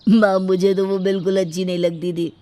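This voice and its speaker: A woman puts on a selfish young man for a storytime drama. The voice sits at a medium pitch in a dry recording, with a mocking, childish swagger. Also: flat delivery, brisk, mellow, dry recording, close to an everyday voice. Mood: whiny excuses. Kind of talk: dramatized Hindi moral story dialogue.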